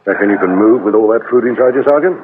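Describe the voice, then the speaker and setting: Tinny radio-drama actor as a sardonic police detective. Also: male voice, mid-range voice, racing, natural voice. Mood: dryly sarcastic. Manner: sarcastic, dry, commanding. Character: sardonic senior male police detective, dry and bossy. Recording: muffled phone-like radio drama recording, faint noise.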